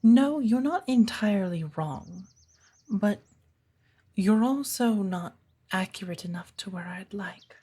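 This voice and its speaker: A woman as a soft, husky witch mentor. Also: mid-range voice, expressive. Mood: patient teaching. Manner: measured, formal, instructive, commanding. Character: stern, patient witch mentor of the woods. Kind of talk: ASMR roleplay addressed to the listener as apprentice. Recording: birdsong behind the voice. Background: birdsong, woodland ambience.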